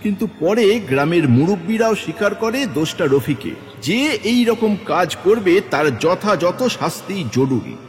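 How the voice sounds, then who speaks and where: A man's voice: high-pitched, lively intonation, measured pace. A man narrating a horror story as a dramatic scripted audio tale. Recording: long hall reverb with music underneath.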